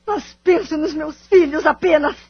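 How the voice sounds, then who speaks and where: A woman's voice: high-pitched, unhurried, creaky, muffled recording. A woman acting in an audio drama with a Brazilian accent, playing a bitter, wounded wife and devoted mother in formal, melodramatic phrasing. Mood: anguished, angry.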